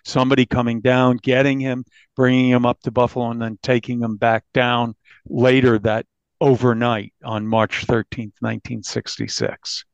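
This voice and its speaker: A man's voice: mid-range voice, flat delivery, normal speed, clear, resonant tone, no echo, muffled recording. A man speaking as an interviewed newspaper reporter, explaining his reporting conversationally.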